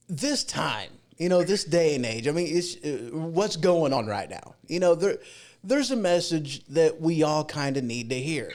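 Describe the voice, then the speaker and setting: Male livestream host, a bit high-pitched, loose and informal, chatting off the cuff. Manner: rambling, informal. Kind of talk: casual chat. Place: home podcast studio.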